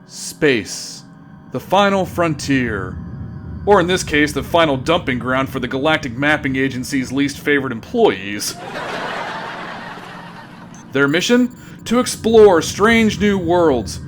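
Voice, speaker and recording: A man's voice: fairly high pitch, animated, slow, pauses often, noisy background. A man narrating a scripted sci-fi comedy in a theatrical, wry manner.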